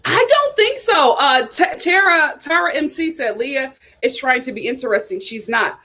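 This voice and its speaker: Animated woman chatting about reality TV. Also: high-pitched, moderate pace. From New York. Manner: animated and emphatic, lots of "you know". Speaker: host of a reality TV chat channel. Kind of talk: casual reaction chat reading viewer comments.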